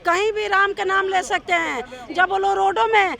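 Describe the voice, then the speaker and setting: A woman protester speaks defiantly in an extremely high, sharp voice with a gravelly edge. Her delivery is emphatic and impassioned, as in a street interview. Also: normal speed. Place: outdoors on a city street.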